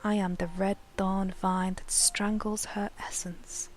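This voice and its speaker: A woman voicing an obsessed, menacing female plant-creature villain reading her journal in an ominous, deliberate, intense manner. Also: mid-range voice, level tone, soft background music, lightly acted. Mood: ominous resolve.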